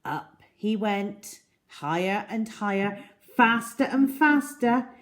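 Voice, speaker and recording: A woman telling a children's story in an expressive, deliberate way with dramatic emphasis. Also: medium pitch.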